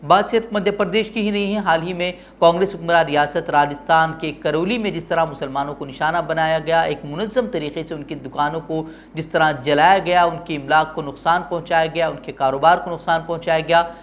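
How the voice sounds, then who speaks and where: High-voiced man presenting opinionated news. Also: steady tone, brisk, hardly any pauses, slight background noise. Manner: formal Urdu-heavy diction.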